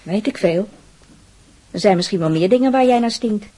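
A woman's voice: medium pitch, animated, moderate pace, dark tone, natural voice. An actress playing a bossy, teasing woman of the household in a radio drama, with a Netherlands Dutch accent. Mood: teasing, brisk.

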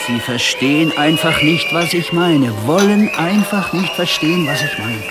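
A man's voice: fairly high pitch, moderate pace, over loud music, natural voice. Man playing a bewildered, grumbling old man. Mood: gloomy. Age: older. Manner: hesitant, stammering, indignant, plaintive. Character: bewildered, grumpy old male psychiatric-clinic patient dragged onstage. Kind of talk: radio drama acting.

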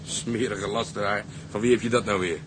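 An actor playing a grumbling, coarse young engine-room hand in a Dutch radio drama, complaining in slangy talk. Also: male voice, on the low side, steady tone, brisk, close to an everyday voice. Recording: noisy engine ambience, off-mic.

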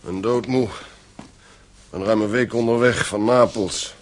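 An actor playing a middle-aged gentleman in a radio play, an anxious, gruff father with a weary, urgent manner. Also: fairly low pitch, fairly even intonation, slow pace.

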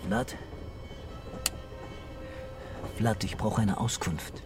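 An actor playing a brooding, terse police commissioner in a German radio crime drama. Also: male voice, on the low side, fairly even intonation, a little slow, lightly acted. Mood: probing. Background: music and vehicle ambience.

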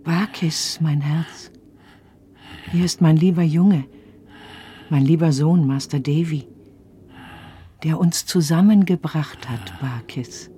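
An actress playing a loving, plain-hearted elderly nursemaid, speaking in a tender, homely way in a radio play. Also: female voice, deep voice, slow, pauses often, mellow, slight background noise. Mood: gentle, sorrowful.

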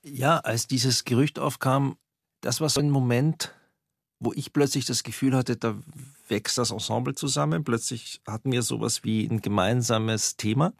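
A man voicing an ensemble actor with a gravelly, husky voice who looks back resolutely. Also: medium pitch, no echo, clean studio sound.

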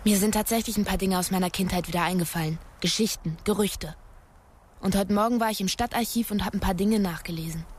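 A woman's voice: medium pitch, fast talker, slight background noise, lightly acted. An actress playing a determined young woman with a secretive streak, in naturalistic radio drama dialogue.

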